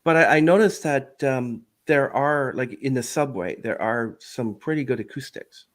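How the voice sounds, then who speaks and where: A man's voice: medium pitch, moderate pace. Male podcast host, casual, rambling and hesitant delivery. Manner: rambling, hesitant, full of fillers. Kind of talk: casual interview chat.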